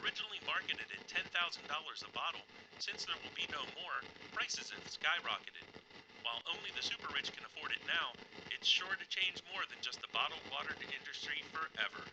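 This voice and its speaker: An actor playing a radio newsreader delivering a scripted bulletin in an audio drama. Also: male voice, on the high side, lively intonation, fairly quick. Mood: brisk, detached. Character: smooth-talking male radio newsreader. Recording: noisy radio-style broadcast.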